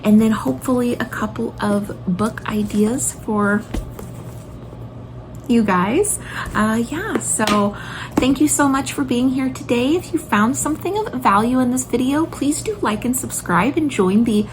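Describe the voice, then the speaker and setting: A woman's voice: a little high, lively intonation, unhurried, background noise. A woman in her thirties talking casually and enthusiastically, like a homeschooling mom recommending favorites on her vlog. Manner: enthusiastic, gushing. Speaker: homeschooling video blogger and mother.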